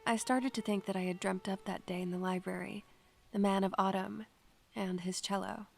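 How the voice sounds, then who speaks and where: A woman's voice: medium pitch, fairly even intonation, moderate pace, gravelly, soft background music, natural voice. A woman playing a wistful young student who talks to spirits, in a poetic, dramatic audio drama style. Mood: haunted, wistful. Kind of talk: audio drama with poetic first-person narration.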